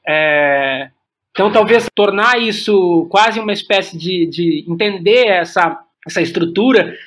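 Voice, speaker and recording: A Brazilian man with a notably high-pitched voice and a bright, forward tone. He talks reflectively in an interview, circling back and repeating words while he works out his thought. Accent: Brazilian Portuguese. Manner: hesitant, searching, repeats words while thinking aloud. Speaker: guest playwright and director. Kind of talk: reflective podcast interview talk.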